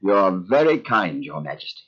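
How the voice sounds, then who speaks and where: An actor playing a humble, contrite and devout Hebrew patriarch in a Bible audio drama, earnest, measured and deferential. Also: male voice, low-pitched, sing-song, husky, exaggerated voice. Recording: dull lo-fi radio drama audio.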